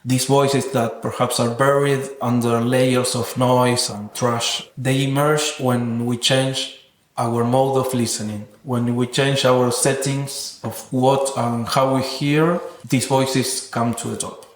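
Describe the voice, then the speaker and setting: Male interview guest, reflective and philosophical artist type, average pitch, noticeable room echo. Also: flat delivery, takes it slowly, a little hiss or hum. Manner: thoughtful, earnest, philosophical. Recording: roomy echoing sound.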